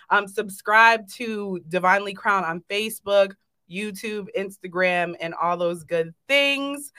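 A woman's voice: mid-range voice, sharp tone. A woman in her thirties hosting a podcast, talking in an upbeat, chatty and emphatic way. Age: 30s. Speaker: podcast host. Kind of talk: casual podcast chat. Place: indoor recording space.

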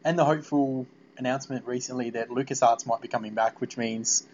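A man hosting a casual interview about video games, talking in an informal, halting, rambling way. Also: medium pitch, fairly even intonation, darkish tone. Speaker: podcast interviewer. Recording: muffled, noisy lo-fi call audio.

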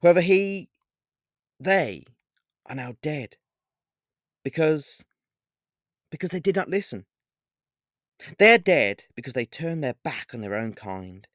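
A man's voice: fairly high pitch, animated, slow, pauses often, no echo, clean studio sound, pitched above the performer's own voice. A man voicing a smug, menacing male vampire king who talks grandly and savors each word, in a storybook reading.

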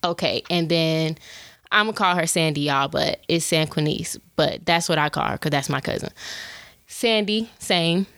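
A woman in her twenties hosting a show, talking in a chatty, animated way and calling her listeners y'all. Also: deep voice, normal speed, dry recording, studio quality. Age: 20s. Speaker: podcast host. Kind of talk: casual chat.

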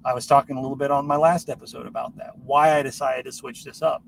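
A man's voice: mid-range voice, fairly even intonation, fast, creaky. Man rambling, far from a noisy mic. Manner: informal, swears casually. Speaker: podcast host. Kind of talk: casual rambling solo podcast talk. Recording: noisy, distant microphone.

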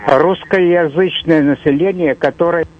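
A male caller with a slightly raised pitch, hesitating often and filling pauses as he talks. The call sounds dull and lo-fi. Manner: hesitant, many fillers. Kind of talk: phone-in call.

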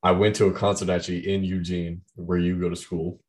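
Young man, very low deep voice, loose rambling chat, heavy fillers. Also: little pitch movement, fairly quick, darkish tone, a little hiss or hum. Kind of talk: casual chat about music.

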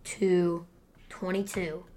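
A woman hosting a casual sports podcast, talking loudly and excitably and teasing her guest. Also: lowish voice, drawn-out words. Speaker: podcast host. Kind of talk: casual sports picks chat.

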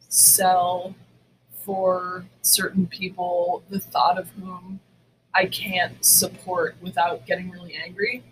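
A woman with a bright, forceful voice and mid-range pitch, chatting as a podcast co-host. She is blunt and emphatic and swears freely as she tells her stories, with some background noise around her. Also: low-quality recording. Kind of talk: casual, profane storytelling chat.

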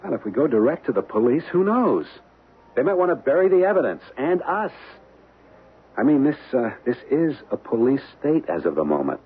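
Nervous young american husband, really husky, stammers a bit, old radio play with thin muffled sound. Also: male voice, mid-range voice, sing-song, fairly quick. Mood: wary. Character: uneasy young American husband caught up in intrigue. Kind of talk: old-time radio drama acting. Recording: muffled, band-limited old radio broadcast.

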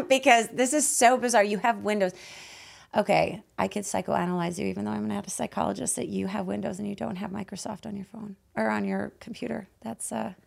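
A woman with a raspy, hoarse voice hosting a conversational podcast in a playful, teasing manner. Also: medium pitch, brisk. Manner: playful, teasing, laughs often. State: voice hoarse after losing it. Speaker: host and guide.

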